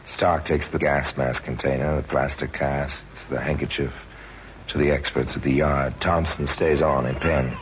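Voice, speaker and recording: A man narrating an old time radio crime drama in a measured, grave, dramatic way. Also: extremely low pitch, fairly even intonation, slight background noise, rough recording. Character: grave, worldly narrator of true crime tales.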